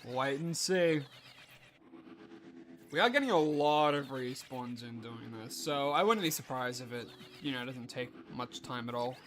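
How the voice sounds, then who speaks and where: A man's voice: medium pitch, measured pace, a bit bright. A young man streaming a game, sounding stuffy and nasal from allergies, chatting casually with viewers and musing aloud with fillers. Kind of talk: casual live-stream chat while gaming.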